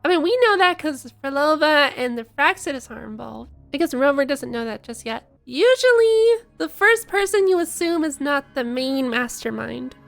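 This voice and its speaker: A woman's voice: high-pitched, animated, moderate pace, creaky, hardly any pauses. A woman giving casual live reaction commentary as a game streamer.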